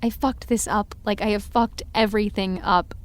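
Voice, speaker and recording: A woman's voice: mid-range voice, steady tone, normal speed, clean tone, close to an everyday voice. An actress playing an anxious, self-deprecating young woman who talks in a nervous, rambling way. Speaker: actor in audio drama.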